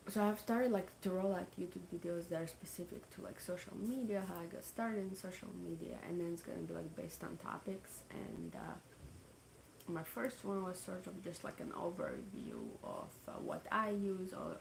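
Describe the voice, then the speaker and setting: Female livestream host, Russian accent, lowish gravelly voice, halting and filler-heavy, noisy street sounds behind. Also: animated. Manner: chatty, halting, full of fillers like "um" and "like". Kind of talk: casual livestream chat.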